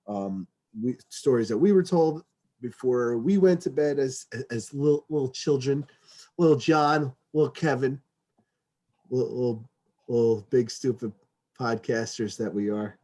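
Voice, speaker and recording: A man's voice: medium pitch, measured pace, dark tone. A middle-aged man co-hosting a comedic podcast, casual and sweary, repeating words as he feels his way through sentences. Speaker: podcast co-host.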